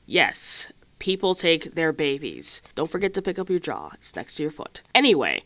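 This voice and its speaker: A young woman who works as a haunted house actor, giving scripted advice in a blunt voice with dry sarcastic asides, a little raspy from a cold. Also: lowish voice, a bit bright, no room sound.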